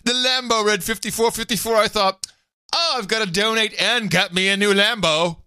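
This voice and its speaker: A man co-hosting a podcast who jumps in with corrections and quick remarks, laughing readily. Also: high voice, smooth, no room sound, studio quality. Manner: animated interjections, laughs easily, corrects pronunciations. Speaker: podcast co-host. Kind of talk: casual chat.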